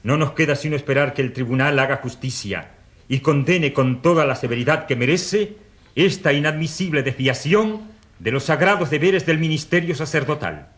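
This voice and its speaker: Actor as pompous, high-pitched indignant newspaperman. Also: moderate pace, fairly bright tone, natural voice. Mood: righteous indignation. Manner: declamatory, pompous. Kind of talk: dramatized reading of press headlines and editorial.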